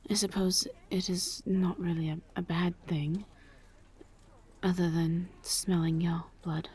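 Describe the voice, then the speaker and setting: A woman playing a gentle, reserved vampire in a roleplay audio drama, speaking in a hushed, breathy voice with careful, halting, formal phrasing. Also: on the low side, flat delivery, exaggerated voice. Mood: hesitant, tender. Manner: halting, careful, formal diction without contractions. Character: gentle, reserved female vampire travelling with a knight.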